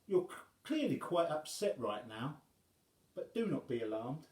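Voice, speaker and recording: A man voicing a huge, self-loathing but kindly female goblin monster from a children's storybook, with loud, drawn-out exclamations. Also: on the high side, big pitch swings, roomy sound, voice pushed up. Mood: gentle, reassuring.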